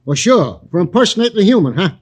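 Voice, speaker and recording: An actor playing an excitable, slow-witted police sergeant as blustery comic relief in a vintage radio drama. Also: male voice, high-pitched. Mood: flustered. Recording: very noisy vintage radio recording.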